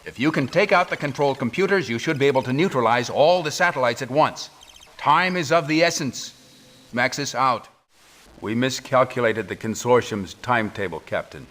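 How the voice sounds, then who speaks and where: A grave middle-aged commander performed by a male actor for a sci-fi game cutscene. He speaks at a medium pitch in formal military phrases. Every order carries urgency. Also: lively intonation, normal speed, fairly bright tone, lightly acted. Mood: grave, urgent. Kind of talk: scripted game cutscene acting.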